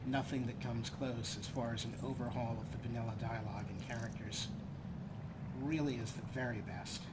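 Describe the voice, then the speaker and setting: A man hosting a let's play, giving measured, wry commentary and explanations. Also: medium pitch, fairly even intonation. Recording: loud background noise and game ambience.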